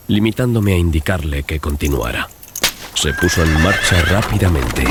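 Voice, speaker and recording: A man's voice: sits low, very noisy. A man narrating a dramatized gothic horror audiobook as a scripted reading. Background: loud music and sound effects.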